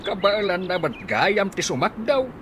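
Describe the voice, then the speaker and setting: A man acting an older male relative with a husky voice in an Ilocano Filipino radio comedy drama. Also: sits high, very animated, unhurried, noisy background. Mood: sympathetic.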